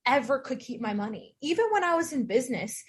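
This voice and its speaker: A woman's voice: high-pitched, lively intonation, fast talker, slightly rough. A woman life coach, a guest on the show, telling personal stories candidly and with emphasis. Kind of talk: personal storytelling in interview.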